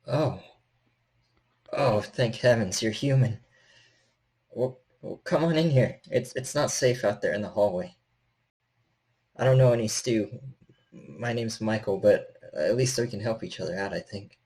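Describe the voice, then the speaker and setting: A man voicing a stocky, gentle, frightened handyman in a tabletop horror game, speaking hesitantly and stammering in a friendly way. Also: lowish voice, unhurried, pauses often. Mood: relieved.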